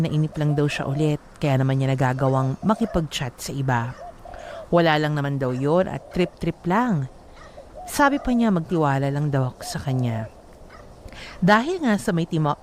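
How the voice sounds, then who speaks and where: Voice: mid-range voice, darkish tone, a little hiss or hum. Emotional first-person letter narration. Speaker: narrator reading a listener's letter.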